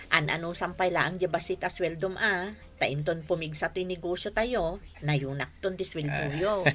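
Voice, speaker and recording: A woman's voice: lowish voice, moderate pace, fairly bright tone, lightly acted. A woman acting in an Ilocano radio drama as a confident, frank small-business owner with an Ilocano accent. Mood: businesslike, friendly.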